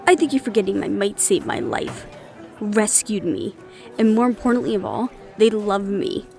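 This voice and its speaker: A woman's voice: mid-range voice, lively intonation, normal speed, gravelly, mellow, faint music behind. A woman acting in an audio roleplay as a young adult daughter, defiant, loyal and argumentative, with an emotional, sarcastic edge. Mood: hurt, resolute.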